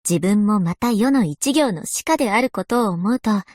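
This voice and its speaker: A woman's voice: medium pitch, animated, normal speed, darkish tone, no echo, studio quality, lightly acted. A woman voicing a polite, scholarly young historian in a game, speaking with formal, refined courtesy. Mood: polite, earnest. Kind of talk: scripted game character voice lines.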